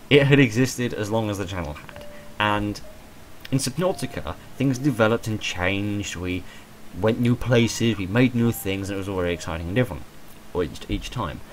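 A man in his twenties with a hoarse voice, talking in a rambling, self-deprecating way about his channel and stumbling over repeated words from a small room at home. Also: lowish voice, normal speed, slight background noise, rough recording. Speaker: channel creator. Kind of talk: rambling personal monologue.

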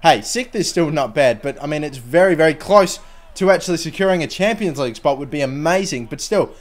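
A young man with a sore, fading voice, casually commentating his own football video game career, rambling and then bursting into excitement at goals. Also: on the high side, fairly quick. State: sore throat, losing his voice. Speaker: gaming channel host.

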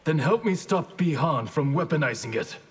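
An actor playing a stern, honorable ninja grandmaster in a video game, speaking in a terse, resolute, formal way. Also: male voice, a little high, expressive, normal speed, slightly rough. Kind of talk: video game character acting.

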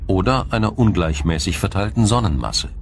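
A man narrating a scripted science documentary in a measured, formal, explanatory way. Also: low-pitched, expressive, slight background noise.

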